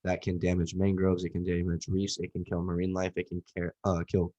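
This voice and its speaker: A man's voice: low-pitched, completely flat delivery, brisk, mellow, muffled recording. A young man with a cold, giving a casual, rambling class presentation with lots of fillers. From Southwest Florida. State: nasal from a cold. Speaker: student presenter.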